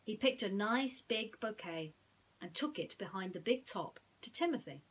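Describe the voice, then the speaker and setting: A woman's voice: medium pitch, expressive, moderate pace, breathy edge, rough recording. A woman telling a bedtime story and its simple message to listeners as a storyteller. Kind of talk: bedtime story narration and gentle reflection.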